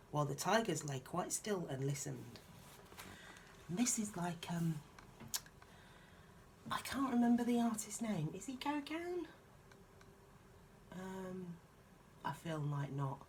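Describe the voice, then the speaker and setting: A woman reading a children's picture book aloud in a soft-spoken, musing way, with informal, chatty asides. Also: low-pitched, a little hiss or hum, rough recording.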